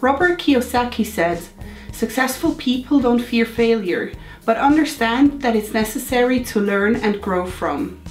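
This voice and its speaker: A woman in her thirties, a bit hoarse from a cold, giving an upbeat, encouraging motivational talk to her viewers. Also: fairly high pitch, expressive, measured pace, echoey room, faint music behind. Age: 30s. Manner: upbeat, encouraging, chatty asides. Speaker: lifestyle vlogger. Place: home room.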